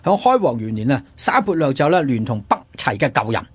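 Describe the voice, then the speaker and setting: A man with a Hong Kong Cantonese accent telling history conversationally as a radio host, animated and fond of rhetorical questions. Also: mid-range voice, brisk, breathy edge, dry recording. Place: radio studio.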